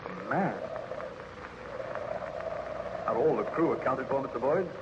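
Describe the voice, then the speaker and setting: An actor playing a steady, kindly ship captain with formal authority in an old radio drama. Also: male voice, mid-range voice, fairly quick, natural voice. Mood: alert, commanding. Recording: noisy, muffled old radio recording.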